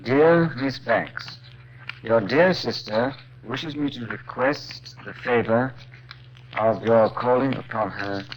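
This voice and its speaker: An actor playing a smooth-talking, secretive Victorian doctor with a formal, measured manner in a 1950s radio drama. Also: male voice, lowish voice. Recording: muffled, noisy old radio recording.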